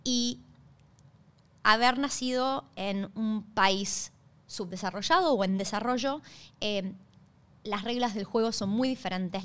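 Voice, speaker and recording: A woman's voice: medium pitch, fairly bright tone. A woman with an Argentine accent hosting a podcast, talking conversationally in an explanatory way and checking in with listeners. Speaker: podcast host.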